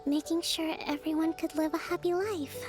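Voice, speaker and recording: A woman voicing a gentle, introverted young priestess in an anime game, speaking softly in an earnest, hesitant way. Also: extremely high pitch, steady tone, a little fast, over music. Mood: reflective, modest.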